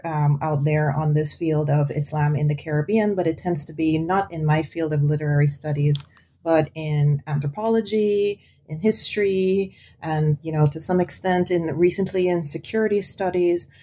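A woman's voice: low-pitched, mellow, slight background noise. A woman from Guyana, an author discussing her book in an interview, thoughtful with frequent ums and restarts. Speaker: author and guest. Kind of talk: academic interview conversation.

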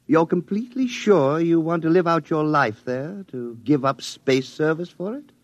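An actor playing a stern, cryptic military psych officer with formal speech, in 1950s radio drama style. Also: male voice, on the high side, animated, normal speed, dry recording, natural voice. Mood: probing. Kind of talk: 1950s science fiction radio acting. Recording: dull vintage radio recording.

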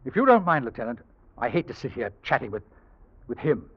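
An actor playing a polished, condescending suitor under police questioning, in 1940s radio drama style. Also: male voice, medium pitch, sing-song, a little fast, slightly rough. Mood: haughty, indignant. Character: smooth-talking, haughty male suitor lying to police. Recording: muffled vintage radio recording.

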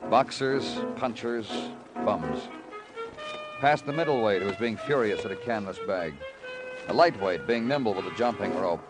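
An actor in an old-time radio crime drama playing a calm, matter-of-fact detective, terse and dry in clipped phrases. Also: male voice, fairly low pitch, close to an everyday voice, rough recording. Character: calm, matter-of-fact male police detective narrating his case. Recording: vintage radio broadcast recording.

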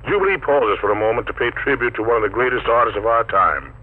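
A man hosting a wartime radio variety show, announcing in a formal, measured manner. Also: medium pitch, expressive. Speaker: radio host. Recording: tinny, noisy 1940s radio recording.

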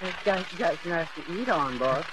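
Muddled bus porter, noisy recording. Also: male voice, a little high, expressive, normal speed, sharp tone. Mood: earnest, muddled. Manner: artless, deferential, muddled folksy grammar. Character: slow-witted, deferential young male bus porter. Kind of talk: 1940s radio comedy acting. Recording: noisy old radio broadcast.

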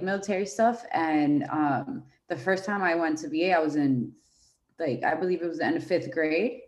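A young woman from Venezuela, a fashion brand founder, talking as a podcast guest in a relaxed way with many fillers. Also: deep voice, highs cut off. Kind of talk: casual interview chat.

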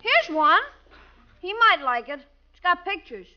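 A woman's voice: very high-pitched, sing-song, unhurried, full character voice. A woman playing a brash, wisecracking young boy who talks in eager, slangy protests, in old radio comedy style. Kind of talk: 1940s radio comedy acting. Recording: muffled old radio recording.

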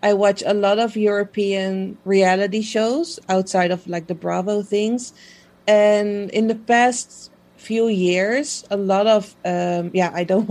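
A woman's voice: mid-range voice, a little mellow. Chatty Dutch woman laughing often. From Netherlands. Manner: chatty, laughs easily, many fillers. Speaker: guest, social media page creator. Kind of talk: casual interview chat.

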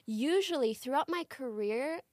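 A young woman with a high voice talks in a lively, joking way as a podcast guest. The sound is close and dry, with no room around it. She is from Morocco and laughs easily between thoughts. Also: dry recording. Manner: animated, joking, quick to laugh. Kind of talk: casual podcast chat.

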